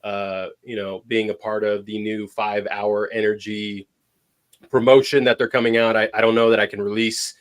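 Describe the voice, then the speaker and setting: Young man, co-host, relaxed casual sports chat, easygoing delivery. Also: lowish voice, moderate pace. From half Mexican, half Persian heritage. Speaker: podcast co-host.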